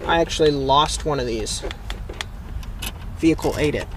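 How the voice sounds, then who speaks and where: Higher-voiced man in a noisy vehicle, explaining. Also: moderate pace. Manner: step-by-step, thinking aloud while working. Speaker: host of a how-to video channel. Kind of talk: casual hands-on tutorial narration. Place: inside or beside a parked vehicle.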